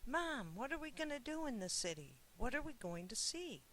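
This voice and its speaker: An excited young boy from a picture book, as a narrator reads them out, curious and eager. The pitch sits a little high, with faint hiss behind it. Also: moderate pace, exaggerated voice. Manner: eager, questioning.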